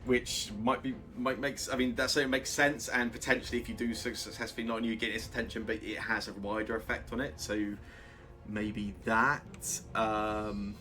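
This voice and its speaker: A man's voice: lowish voice, fairly bright tone. A man acting as game master in casual tabletop play, talking through rules and options in an accommodating way.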